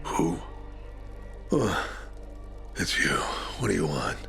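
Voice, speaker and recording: Game role: haunted, bitter older ex-leader. Gravelly and creaky, halting, with big swings in tone. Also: male voice, very animated, bright, forceful tone, faint music behind, exaggerated voice. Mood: irritable, groggy. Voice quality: gravelly, breathy low rasp. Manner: slow, rambling, halting. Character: broken, bitter older male former squad leader, haunted. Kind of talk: video game voice acting.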